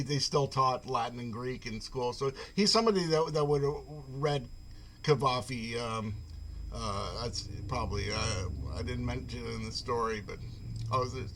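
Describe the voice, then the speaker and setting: A man giving an informal reading of his own literary short fiction on a video call, hesitant in his asides and measured when he reads. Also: medium pitch, a bit bright, a little hiss or hum. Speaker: writer reading own short fiction at an online poetry event.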